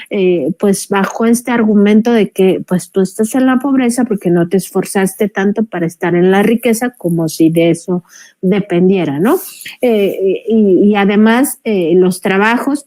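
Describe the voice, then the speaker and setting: A woman's voice: mid-range voice, dark tone. A woman with a Mexican accent speaking as a journalist in a political panel discussion, emphatic and critical, repeating herself as she builds her point.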